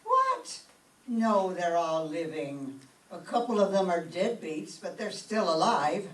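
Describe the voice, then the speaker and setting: A woman's voice: lowish voice, very animated, slow pace. Woman playing a feisty Irish widow landlady, echoey room. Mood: astonished, wistful. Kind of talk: old-time radio comedy acting on stage. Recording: echoey room, distant mic, faint noise.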